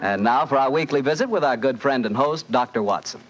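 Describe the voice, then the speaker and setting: A man's voice: mid-range voice, fairly quick, over loud music. An American man announcing and hosting in 1940s radio style, with a folksy, conversational sales manner. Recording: dull 1940s radio recording.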